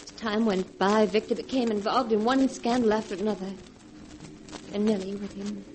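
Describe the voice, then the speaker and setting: A woman's voice: medium pitch, fairly even intonation, normal speed, a little mellow, natural voice. An actress playing an earnest, conflicted young woman in a fervent 1940s radio drama style. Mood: grave, narrating. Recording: noisy vintage 1940s radio recording.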